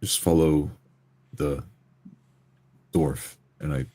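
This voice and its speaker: A grave male spellcaster from a tabletop fantasy game voiced by a man. The voice is extremely deep and rough, giving terse commands at a slow, deliberate pace. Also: steady tone, mellow, exaggerated voice. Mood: grave, urgent.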